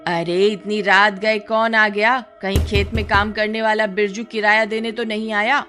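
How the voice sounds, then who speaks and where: A woman's voice: medium pitch, flat delivery, a little slow, pushed and bright, soft background music. Woman playing a bossy, scolding older mother-in-law. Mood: grumbling. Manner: scolding, commanding, sarcastic. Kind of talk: Hindi animated horror story acting.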